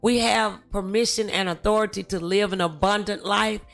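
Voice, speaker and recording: A woman hosting a talk show, speaking in a halting, emphatic and encouraging motivational way. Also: mid-range voice, fairly even intonation, vocal fry, soft background music.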